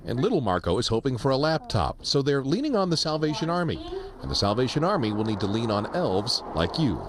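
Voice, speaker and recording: A man's voice: mid-range voice, big pitch swings, fairly quick, crowd noise. A man narrating a scripted television news feature as a reporter.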